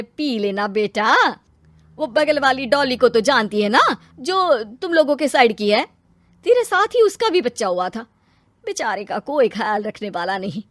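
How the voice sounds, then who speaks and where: A woman's voice: on the high side, animated, a little slow, dry recording. A woman voicing an older, hardworking mother-in-law in a cartoon story, caring and coaxing in manner. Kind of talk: cartoon story character acting.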